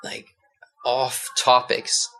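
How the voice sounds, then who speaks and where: A man's voice: medium pitch, flat delivery, very slow, pushed and bright, slight background noise. A young Danish-born man as a podcast guest, talking in a loose, hesitant way with many restarts. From Denmark. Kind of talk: casual conversation.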